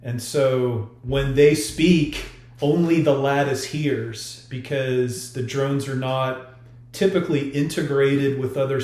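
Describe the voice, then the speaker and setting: A man speaking as an author in a podcast discussion, explaining haltingly with pauses to choose his words. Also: medium pitch, a little mellow. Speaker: author discussing own story. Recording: roomy, echoey sound.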